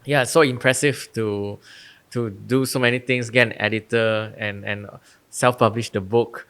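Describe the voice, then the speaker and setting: A man's voice: fairly low pitch, steady tone, normal speed, a bit bright, smooth. A man hosting a podcast, asking questions in a friendly, slightly hesitant way with short agreeing replies. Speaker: podcast host. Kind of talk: casual interview talk.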